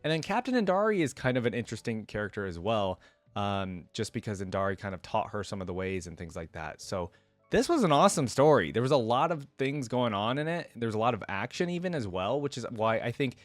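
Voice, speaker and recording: A man's voice: lowish voice, animated, a little fast, dry recording, soft background music. A man giving casual, enthusiastic, informal reaction commentary as a video game fan. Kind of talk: casual reaction commentary and reading a story aloud.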